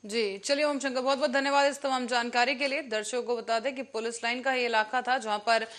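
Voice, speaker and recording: A woman's voice: fairly high pitch, steady tone, brisk, a bit bright. A woman presenting the news as an anchor. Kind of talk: news presenting, scripted narration and live questions to a reporter.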